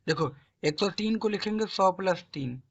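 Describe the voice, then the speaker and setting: A man works through math problems step by step. His throat is hoarse and sore, and he keeps prompting the class with questions he answers himself. The recording sounds dull and lo-fi. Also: sits high, lively intonation, normal speed. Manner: coaxing, asks and answers own questions, clears throat. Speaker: math tutor. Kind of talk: classroom-style math lesson.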